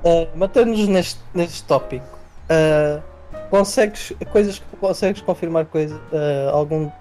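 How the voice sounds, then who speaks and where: A man asking questions in a casual interview, feeling his way haltingly through his sentences. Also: on the high side, warm tone, soft background music.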